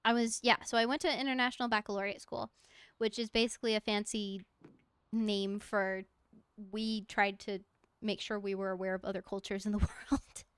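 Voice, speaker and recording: A woman's voice: mid-range voice, level tone, normal speed, clean tone, no echo. A young woman streaming a game from home, chatting casually in a rambling, giggly way and talking to objects in the game. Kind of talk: casual chat while gaming.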